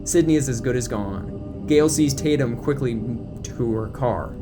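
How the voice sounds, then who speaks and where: A man's voice: medium pitch, moderate pace, over music. A man narrating an audio drama in scripted present-tense prose, reading scene descriptions and action.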